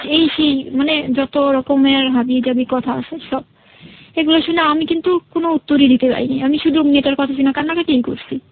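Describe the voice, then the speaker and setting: A woman's voice: high-pitched, phone-quality sound. A young woman with a Bangladeshi accent, a guest telling her personal story conversationally and quoting reported dialogue.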